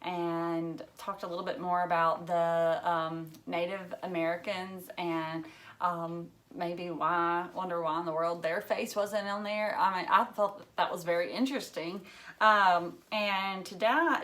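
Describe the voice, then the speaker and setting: A woman teacher reading a story aloud to children in an expressive way, emphasizing key words. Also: fairly low pitch, unhurried.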